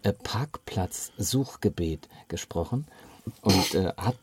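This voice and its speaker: A man's voice: deep voice, animated, slow pace, darkish tone. A man co-hosting a casual talk show, chatty and teasing. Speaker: podcast co-host. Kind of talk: casual chat. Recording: clear background noise.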